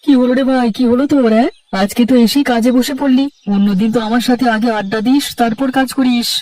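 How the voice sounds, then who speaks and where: A man plays a chummy, inquisitive young cartoon co-worker. His voice is pushed very high, concerned and quick, a little distant over music. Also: steady tone, a little mellow. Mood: curious, concerned. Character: nosy, concerned young male office buddy. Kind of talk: cartoon dialogue acting.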